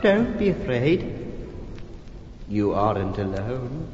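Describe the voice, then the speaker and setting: An actor playing a gloating, prankish vampire count in a campy horror comedy record, with a hammy, drawn-out, gleefully sinister delivery. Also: male voice, medium pitch, big pitch swings. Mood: gleeful menace. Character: gloating theatrical male vampire count, menacing prankish villain. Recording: muffled, echoey, noisy old flexi-disc record.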